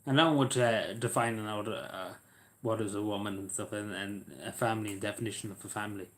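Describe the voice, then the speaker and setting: Rambling man, lowish voice. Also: steady tone, a little fast. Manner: rambling and hesitant, many fillers. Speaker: livestreamer. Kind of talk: casual livestream chat.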